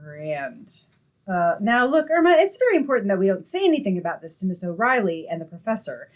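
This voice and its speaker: Woman playing a wry, lively young roommate. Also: mid-range voice, brisk, lightly acted. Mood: bossy, exasperated. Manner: wry, expressive. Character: sensible, wry young woman, exasperated yet affectionate roommate. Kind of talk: old-time radio comedy acting and narration.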